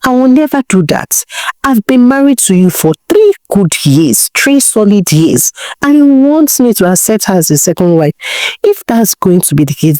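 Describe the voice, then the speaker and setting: A woman's voice: mid-range voice, warm tone, dry recording, lightly acted. A woman storyteller voicing a betrayed, defiant wife with a Nigerian accent, scolding emphatically.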